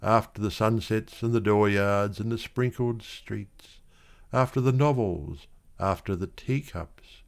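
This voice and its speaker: Man, lowish pitch, very slow pace, dramatic poetry reading, measured expressive phrasing. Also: vocal fry. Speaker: poetry reader. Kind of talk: dramatic literary poetry recitation.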